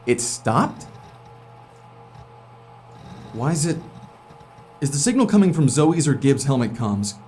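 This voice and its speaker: An actor playing an irritable, defensive astronaut in a naturalistic sci-fi audio drama, curt and sarcastic. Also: male voice, medium pitch, very animated, slow pace, pauses often, darkish tone, faint music behind. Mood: puzzled, urgent.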